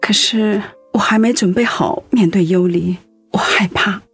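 An actress playing a devoted, anxious wife in a radio drama, speaking tenderly and earnestly with feeling. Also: female voice, mid-range voice, measured pace, breathy edge, faint music behind. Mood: afraid. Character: devoted, anxious wife caring for her sick husband.

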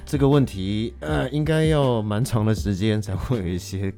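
A man with a very deep, mellow voice and a Taiwanese Mandarin accent talks as a guest on a podcast. He explains his opinions in a conversational way, leaning on examples. Light music plays underneath. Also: moderate pace. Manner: explains through examples and hypothetical quotes. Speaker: guest, actor.

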